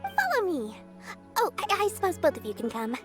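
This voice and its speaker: A woman's voice: very high-pitched, huge pitch swings, normal speed, faint music behind, exaggerated voice. A woman voicing a shrewd, smug, childlike girl merchant in a video game, theatrical, haughty and teasing. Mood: smug, scheming. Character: shrewd, smug young female merchant, childlike and money-minded. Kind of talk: video game character acting.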